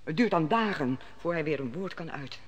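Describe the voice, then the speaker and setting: A woman's voice: low-pitched, animated, fairly quick. A worried, commanding queen. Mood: urgent. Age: middle-aged. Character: middle-aged queen, anxious and probing. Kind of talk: 1950s-60s radio drama acting. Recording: old radio play with long reverb and faint hiss.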